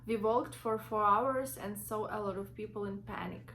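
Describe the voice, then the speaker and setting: A young woman with a Ukrainian accent, reading her own story from notes in a halting way with many fillers and restarts. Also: medium pitch, steady tone, fairly bright tone, low-quality recording. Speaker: vlogger.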